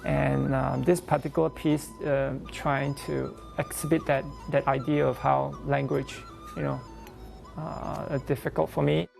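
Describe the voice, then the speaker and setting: A man with a Malaysian accent, speaking English as a second language, talks personally and without a script, haltingly searching for words. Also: mid-range voice, level tone, creaky, a little mellow, soft background music, rough recording. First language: not English. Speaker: visual artist interviewed for a TV profile. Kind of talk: unscripted personal storytelling and explanation of own work.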